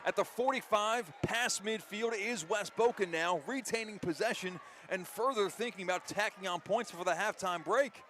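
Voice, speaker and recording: A male play-by-play announcer with a somewhat high voice calls a live football game on radio. His delivery is excitable and built to surge on big plays. Faint outdoor stadium noise sits behind him. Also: moderate pace. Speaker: play-by-play commentator. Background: faint outdoor ambience.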